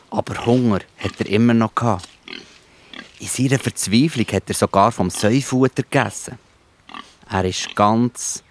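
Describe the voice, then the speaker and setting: A man's voice: on the low side, slight background noise. A man with a Swiss German accent narrating a scripted Bible story for children.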